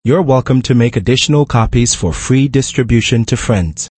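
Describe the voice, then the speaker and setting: A man reading a formal recorded copyright notice in an even way. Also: medium pitch, normal speed, husky, no room sound. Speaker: announcer.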